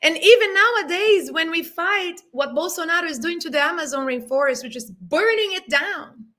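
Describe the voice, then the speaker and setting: A woman's voice: very high voice, expressive, normal speed, sharp tone. A woman in her thirties from Brazil, with a Brazilian Portuguese accent, whose first language is Portuguese. She speaks earnestly and emotionally on a panel over a video call, searching for words. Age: 30s. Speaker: panel guest. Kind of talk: impassioned panel discussion.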